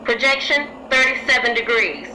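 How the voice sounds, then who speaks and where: A woman's voice: fairly high pitch, normal speed, sharp tone. A woman playing a commanding army artillery officer in a radio drama, barking clipped orders. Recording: noisy radio play battle ambience.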